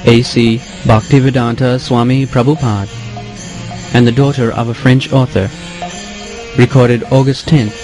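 A man reading a formal scripted introduction as an announcer, measured and phrase by phrase. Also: mid-range voice, steady tone. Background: music.

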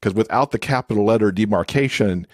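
A man's voice: on the low side, a little fast, darkish tone, no echo, clean studio sound. A man speaking as an expert podcast guest, explaining things in a casual, conversational way.

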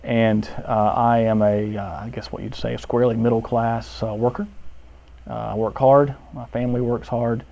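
Hesitant man with a mellow voice. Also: medium pitch, level tone, moderate pace, vocal fry. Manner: hesitant, many uh fillers. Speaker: seminary professor. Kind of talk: unscripted testimonial. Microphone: lapel mic.

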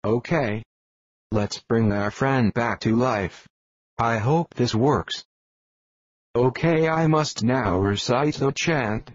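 A man's voice: medium pitch, slow, dull audio. A man voicing a brash young male cartoon hero who talks bluntly and swears casually.

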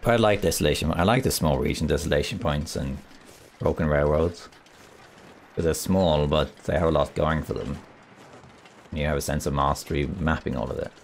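A man with a raspy voice, still getting over a cold, calmly commenting as he plays a survival game and thinks aloud. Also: extremely low pitch, moderate pace, a little hiss or hum. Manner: relaxed, thinking aloud while exploring. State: recovering from a cold. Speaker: gameplay commentator. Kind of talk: calm let's play commentary.

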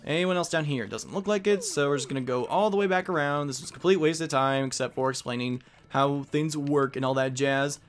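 Male let's play host, higher voice, casual and slangy, light music underneath. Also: fairly quick, hardly any pauses. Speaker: gaming video host.

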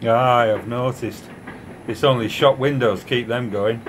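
Guy playing a deadpan footy supporter, terse, radio play, noisy train behind him. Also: mid-range voice, unhurried, lightly acted. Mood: dry. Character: dry, argumentative male football supporter. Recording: train noise over voice.

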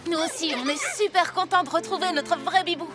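A woman voicing a plucky young boy superhero in a French cartoon dub, eager and energetic. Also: very high voice, fairly quick, over loud music.

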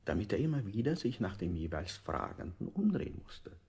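A controlling interrogator in an old radio play. He probes and coaxes with menacing politeness, his creaky voice swinging dramatically in pitch. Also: sing-song, fairly quick, a little mellow. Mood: probing. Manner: insinuating, probing. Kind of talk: 1960s German experimental radio play acting.

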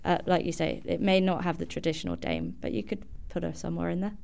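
A woman's voice: lowish voice, fairly even intonation, fast, gravelly, darkish tone. A female student chatting casually as a guest in an interview.

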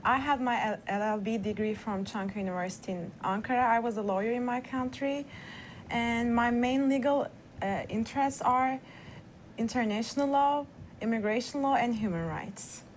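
Young woman guest, higher pitch, earnest and measured. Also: a little hiss or hum, low-quality recording. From Turkey. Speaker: international law student. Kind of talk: panel guest introduction.

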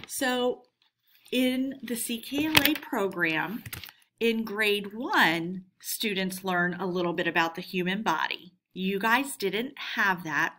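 Slow, expressive woman teacher reading aloud. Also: mid-range voice. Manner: clear, instructional, addressing pupils. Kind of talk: read-aloud lesson for children.

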